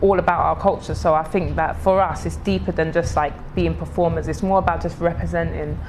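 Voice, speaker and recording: A woman's voice: on the low side, steady tone, a little fast, darkish tone, a little hiss or hum. A young woman, a soca singer speaking earnestly in an interview. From London, England. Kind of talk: casual interview answers.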